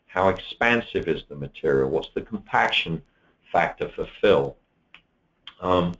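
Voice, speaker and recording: A man giving a steady, explanatory walkthrough of software features in a webinar, with an occasional um. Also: low voice, lo-fi sound. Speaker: software presenter.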